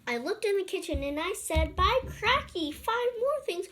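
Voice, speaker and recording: A woman's voice: extremely high pitch, very animated, normal speed, fairly bright tone, a little hiss or hum. A young girl reading a picture book aloud, breaking off for informal, chatty asides to other children watching. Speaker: storybook reader and channel presenter.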